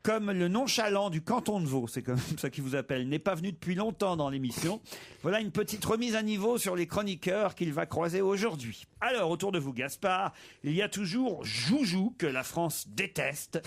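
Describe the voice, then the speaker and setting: A man's voice: high-pitched, animated, normal speed, vocal fry. A middle-aged man hosting a comedy radio show, reading listener mail aloud in a playful way. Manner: playful, reads jokes aloud with mock voices.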